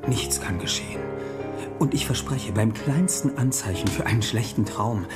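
Young doctor male actor: earnest, anxious, animated intonation, audio drama over loud music. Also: mid-range voice, normal speed, close to an everyday voice. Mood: soothing. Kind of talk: German audio drama acting.

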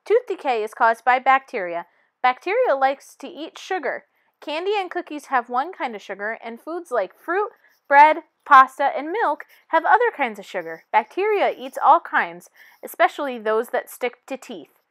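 High-voiced woman reading a children's book. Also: sing-song, moderate pace, a bit bright, no echo. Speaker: storybook reader. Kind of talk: read-aloud of a children's picture book.

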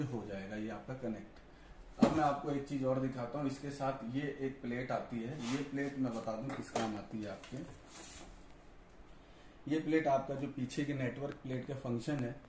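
Male reviewer, explanatory and informal, recorded in a reverberant room with background noise. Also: medium pitch. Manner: explanatory, repeats "you see" and "I'll show you". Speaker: product reviewer and audio installer. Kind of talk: informal product demonstration to camera. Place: indoor tiled storeroom with boxes.

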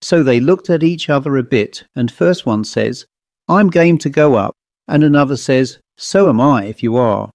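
A man's voice: mid-range voice, lively intonation, normal speed, darkish tone, dry recording, studio quality, natural voice. A man voicing an old country servant who speaks in broad, ungrammatical rural dialect. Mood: storytelling. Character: old rustic male servant telling a grim tale.

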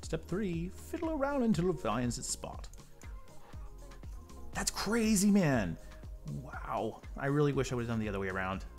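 A man's voice: a little high, sing-song, normal speed, pauses often, a little mellow, soft background music. A man with a stuffy nose from a cold, hosting a model-building tutorial and chatting casually with rambling asides as he works at a table. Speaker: model-building channel host. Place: indoor tabletop workbench.

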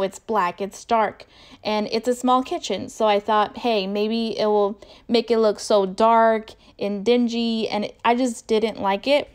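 A woman's voice: on the high side, clean tone. A woman vlogger giving a chatty, informal voice-over narration.

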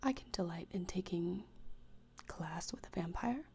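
A young woman playing a gloomy, morbid gothic teenage schoolgirl in a whispered ASMR roleplay, deadpan, deliberate and disdainful, close to the microphone. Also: lowish voice, gravelly, a little hiss or hum. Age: young adult. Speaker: ASMR creator. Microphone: close desktop microphone, seen.

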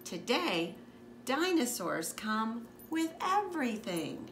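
A woman's voice: a little high, sing-song, slow pace, a bit bright, roomy sound, a little hiss or hum, pitched above the performer's own voice, exaggerated voice. A woman doing a chirpy storybook nurse. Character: friendly grown woman, a nurse.

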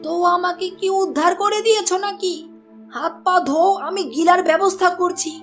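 A woman voicing a high, sharp-tongued nagging wife. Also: normal speed, faint music behind. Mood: scolding. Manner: scornful, scolding. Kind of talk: cartoon voice acting.

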